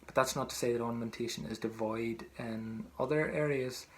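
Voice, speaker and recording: A man's voice: medium pitch, fairly even intonation, a little fast. A man with a Northern Irish accent from Tyrone gives an informal, conversational lesson as a singing teacher, explaining as he goes. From County Tyrone, Northern Ireland.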